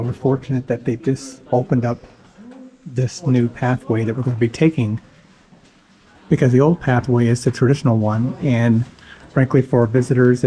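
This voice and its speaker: A man's voice: medium pitch, rough recording. A man vlogging his way through a cave, chatting casually and joking about tight squeezes as he explains what the guide told them. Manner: joking, relaxed, talks while climbing through a cave. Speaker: travel vlogger. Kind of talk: casual travel vlog narration. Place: inside a cave, outdoors. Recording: background music mixed in.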